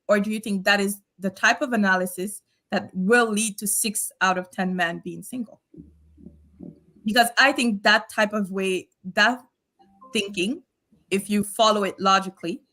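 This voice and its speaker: Measured woman. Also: medium pitch, fairly bright tone. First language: French. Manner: measured, questioning, some hesitations. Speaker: podcast panelist. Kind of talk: panel debate.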